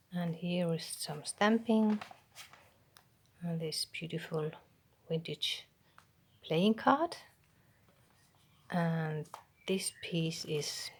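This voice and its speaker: A Finnish woman with a Finnish accent, whose first language is Finnish, quietly showing a handmade journal page by page, half-whispering with pauses and ums. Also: low-pitched, slight background noise. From Finland. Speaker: craft channel host. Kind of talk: soft show-and-tell of a handmade journal.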